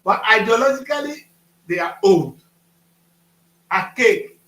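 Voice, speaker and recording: A man in his fifties hosts a talk show, firing emphatic rhetorical questions. His voice sits high. The studio sound is roomy and echoey. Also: lively intonation, slow pace, a bit bright. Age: 50s.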